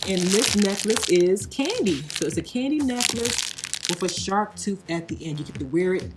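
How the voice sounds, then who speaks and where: A woman's voice: mid-range voice, lively intonation, normal speed, background noise. A woman hosting a casual toy unboxing and demonstration, explaining things simply as if to a child and viewers. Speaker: toy review host. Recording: background music behind the voice. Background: music.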